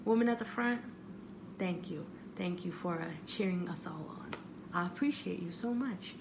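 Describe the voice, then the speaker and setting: Female vlogger, casual and digressive, gravelly fry, self-interrupting, with clear background noise. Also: on the low side, normal speed. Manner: self-interrupting, repeats phrases for emphasis. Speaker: vlogger giving audition advice. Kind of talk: casual rambling advice chat.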